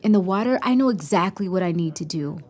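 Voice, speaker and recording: A woman's voice: fairly low pitch, lively intonation, quick pace, darkish tone. A woman playing an earnest, self-doubting teenage daughter in naturalistic audio drama dialogue.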